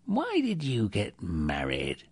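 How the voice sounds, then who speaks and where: A grumpy old miser from a storybook, acted out by a man. He is stern and indignant, with a husky growl and a lively, swinging delivery. The sound is dry and close. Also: lowish voice, slow pace, no echo, full character voice. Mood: stern, dismissive. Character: grumpy, sour old miser uncle who scorns Christmas.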